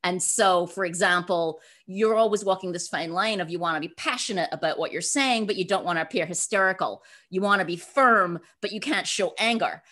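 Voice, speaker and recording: A middle-aged woman giving conversational interview answers as a guest author, animated and given to acting out quotes. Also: lowish voice, fairly quick, hardly any pauses. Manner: animated, acts out imagined quotes.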